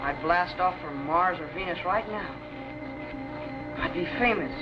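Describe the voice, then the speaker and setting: A young male actor playing an eager, daydreaming teenage boy assistant in a 1950s sci-fi serial, earnest and excitable. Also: sits high, unhurried, music in the background, rough recording. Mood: dreamy. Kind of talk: 1950s television serial acting. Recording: old soundtrack with faint noise.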